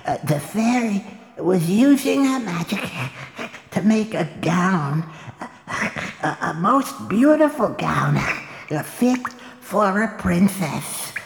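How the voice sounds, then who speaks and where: In an echoing chamber, a cringing minion from a fairytale radio play. The servile creature stammers in slow, creaky phrases, with lively rises and falls. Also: female voice, mid-range voice. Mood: nervous, eager. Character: nervous, cringing fairy minion Babek, reporting to mistress. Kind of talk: audio theatre fairytale acting. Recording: roomy, echoing reverb.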